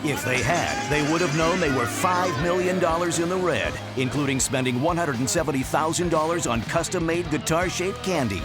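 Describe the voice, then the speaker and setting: A man's voice: on the high side, moderate pace, few pauses, music in the background. A man narrating a mock documentary in a dramatic, tongue-in-cheek announcer style.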